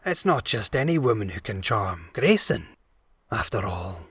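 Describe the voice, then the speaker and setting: An actor playing a pirate captain in an audio drama, courteous and unhurried with quiet menace underneath. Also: male voice, fairly low pitch, big pitch swings, slightly rough, no echo, exaggerated voice. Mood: amused menace. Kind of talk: fullcast audio drama acting.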